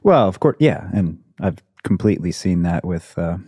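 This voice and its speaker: A man's voice: low-pitched, very animated, normal speed, mellow, husky, no echo, studio quality. A man in his thirties hosting a conversation, speaking in a thoughtful, hesitant way and searching for words. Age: 30s. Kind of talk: casual conversation.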